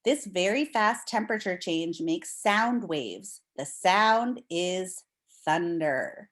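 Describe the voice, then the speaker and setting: A woman teacher leading a read-aloud lesson for young children, explaining things simply and reading with deliberate expression. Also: lowish voice, lively intonation, fairly bright tone.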